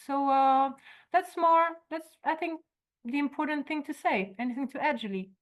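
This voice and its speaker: A woman from Belgium, calmly explaining ideas at an online forum. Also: sits high, moderate pace. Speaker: forum organizer. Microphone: video call.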